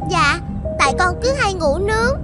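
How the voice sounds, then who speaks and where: A woman voicing an affectionate, polite young schoolgirl in a cartoon, with a childlike, expressive delivery. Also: very high-pitched, normal speed. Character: affectionate young schoolgirl who adores her father. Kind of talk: cartoon dubbing. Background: music.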